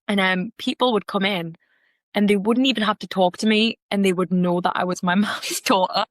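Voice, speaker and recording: A woman's voice: mid-range voice, steady tone, normal speed, no room sound, studio quality. A young woman with a Geordie accent from the North East of England, chatting as a podcast guest with lots of restarts and fillers. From Gateshead, North East England. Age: young adult. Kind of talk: casual interview chat.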